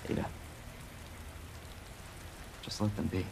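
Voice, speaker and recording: An actor playing an earnest young male rookie cop, steady and earnest with brief firm calls. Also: takes it slowly, noisy background, natural voice.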